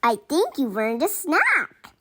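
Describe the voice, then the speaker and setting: A kind storybook mother played by a woman who leads a girls' camping group. She speaks slowly and encouragingly, with plenty of rise and fall. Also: high-pitched, huge pitch swings, sharp tone, full character voice.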